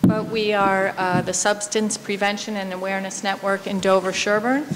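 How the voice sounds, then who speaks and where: A woman steps to the podium to speak for a community organization in a friendly, informal way. Her voice sits at a middle pitch. The recording is roomy with clear background noise. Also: fairly even intonation, normal speed. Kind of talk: public comment at a town meeting. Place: large hall, at a podium. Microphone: podium microphone. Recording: reverberant hall with clear room noise.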